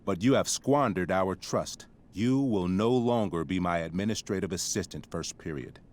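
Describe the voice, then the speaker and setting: A stern middle-aged school principal acted by a man with a lowish voice. He speaks in formal, pompous, wordy sentences, handing down judgment as in a dramatic video game scene. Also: moderate pace, a little mellow, a little hiss or hum, lightly acted. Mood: grave, disappointed.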